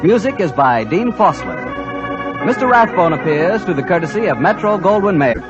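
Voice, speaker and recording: A man's voice: high voice, big pitch swings, moderate pace, music in the background. A man with an American accent working as a 1940s radio announcer, polished and salesmanlike. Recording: dull, lo-fi 1940s radio recording.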